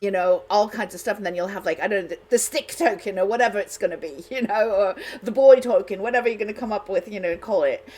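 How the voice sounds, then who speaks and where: A woman's voice: mid-range voice, fast, hardly any pauses, a little mellow. A woman hosting a radio show in casual, unscripted chat, talking in a chatty, rambling way.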